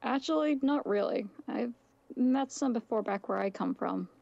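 A woman playing a calm, reserved masked demon with a creaky, matter-of-fact voice in an improvised Minecraft anime roleplay. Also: on the high side, normal speed, no room sound, muffled recording.

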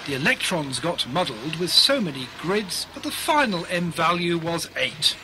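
Casting: male actor as keen 1920s radio engineer, high lively voice, playful radio-drama delivery, noisy recording. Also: normal speed. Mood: playfully declamatory. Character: eager 1920s radio engineer reciting comic technical rhymes. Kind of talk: radio play acting.

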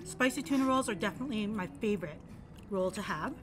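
A woman's voice: medium pitch, animated, fast talker, music in the background. A young woman vlogging a casual food review at a restaurant table, talking through each bite. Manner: chatty, describes each bite. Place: restaurant patio, outdoors. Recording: noisy patio ambience.

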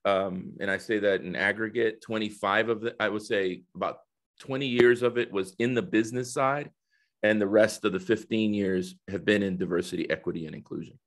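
A man in his fifties from El Salvador, raised in the United States, giving conversational interview answers in a halting, explanatory way. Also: lowish voice, fairly even intonation. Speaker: guest, corporate diversity executive. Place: indoor office, video call. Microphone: headset on a video call.

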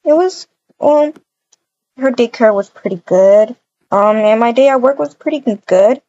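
A woman plays a sweet, doting young mother in a game roleplay. Her voice is high and mellow, tender and hesitant, in a slightly dull recording. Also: measured pace, natural voice. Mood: sweet, casual.

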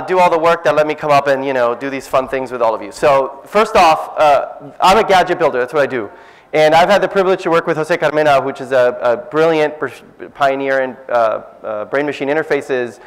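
A middle-aged man with a loud voice giving a casual, self-deprecating science talk to a cafe audience. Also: a little high. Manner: self-deprecating, lively, jokey. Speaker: science speaker. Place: cafe event space, indoors. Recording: echoey event space.

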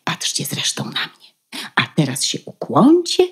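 A woman playing a proud, protective mother duck in a fairy-tale radio play, bossy and caring as she instructs, with a husky, breathy voice. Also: low voice, wildly swooping pitch, in a whisper, heavily acted. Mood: instructing, bossy. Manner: instructing, measured, emphatic.

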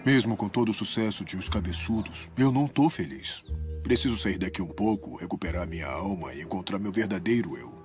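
Male cartoon character, lowish voice, matter-of-fact, music behind. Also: animated, fairly quick. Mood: earnest. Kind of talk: animated cartoon dub.

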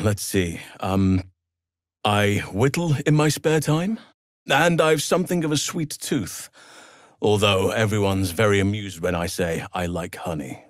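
An actor playing a gentle, solemn druid healer in a fantasy video game, speaking in a measured, earnest, formal way. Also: male voice, deep voice, no room sound, studio quality.

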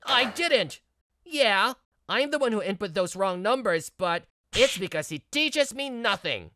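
A man's voice: high-pitched, expressive, measured pace, sharp tone, no echo, clean studio sound, heavily acted. A man voicing an arrogant young male rookie office worker in a manga story dub, indignant, whiny and complaining.

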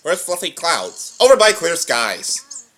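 A young man giving casual, jokey and excitable reaction commentary from his couch. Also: fairly high pitch, slow pace. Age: young adult. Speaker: reaction video host. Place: living room on a couch. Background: audio drama playing with faint music.

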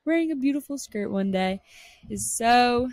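A woman's voice: on the high side, lively intonation, moderate pace, darkish tone, no room sound. A young woman from Pennsylvania hosting a podcast, chatting in a bubbly, upbeat way with lots of fillers, recorded on a close handheld mic outdoors. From Pennsylvania, United States. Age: 20s. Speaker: podcast host. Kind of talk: casual chat. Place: rooftop terrace outdoors. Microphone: large desk mic held close, headphones.

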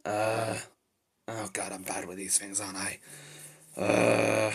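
A man performs a short-tempered male demon devil in a homemade cartoon-style monologue. The voice is rough and raspy with a hard, forceful edge. He blurts and mutters, irritable and easily flustered. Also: fairly low pitch, unhurried, heavily acted. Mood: awkward, offhand. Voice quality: raspy, gravelly. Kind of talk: amateur character voice acting, improvised monologue.

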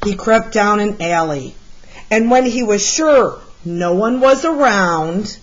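A woman reading a children's picture book aloud as a storyteller, with deliberate, expressive delivery. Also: mid-range voice, lo-fi sound. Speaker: read-aloud storyteller, art teacher.

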